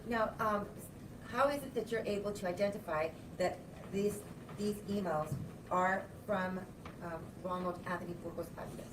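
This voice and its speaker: A woman examines a witness in formal courtroom style. Her mid-pitched voice is picked up from a distance, with noticeable noise around it. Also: normal speed, reverberant. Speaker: attorney questioning a witness. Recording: noisy distant courtroom audio.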